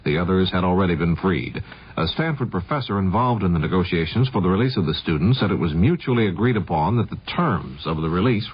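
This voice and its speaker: A man's voice: deep voice, husky. An American man reading scripted news as anchor of a radio newscast. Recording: dull vintage radio broadcast.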